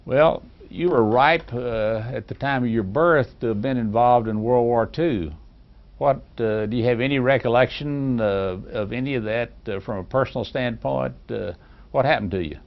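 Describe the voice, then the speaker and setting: A man's voice: medium pitch, normal speed, gravelly, dull audio. An older man interviewing his former employee, reading a prepared introduction and then asking questions with many fillers. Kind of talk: oral history interview.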